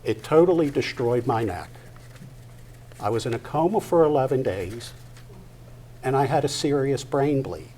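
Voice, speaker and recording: An older man giving a personal testimony to an audience at a live event, halting and deliberate, pausing mid-sentence. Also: medium pitch, expressive, warm tone. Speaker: guest speaker at a patient discussion event. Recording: noticeable background noise, live event.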